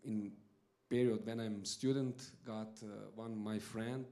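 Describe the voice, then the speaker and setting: A middle-aged man with a Croatian accent giving an unscripted testimony in halting second-language English. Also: fairly low pitch, fairly even intonation, warm tone. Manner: halting, simple non-native English. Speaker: visiting ministry worker. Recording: roomy, echoing church sound.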